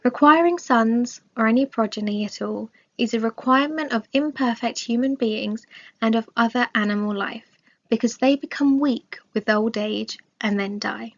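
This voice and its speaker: A woman reading a scripted religious study aloud in a measured, formal manner. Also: on the high side, fairly bright tone. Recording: dull, lo-fi recording.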